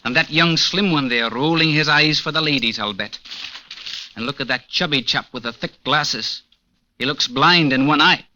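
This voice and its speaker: Sharp-voiced helper, noisy recording. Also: male voice, fairly high pitch, normal speed. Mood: amused scorn. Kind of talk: old-time radio acting. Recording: noisy old radio recording.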